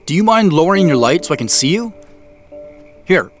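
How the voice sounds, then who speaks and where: A man's voice: on the high side, sing-song, a little fast, faint music behind. An actor playing a startled, placating man caught snooping, speaking hesitantly and naturally in audio drama dialogue. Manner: hesitant, conciliatory.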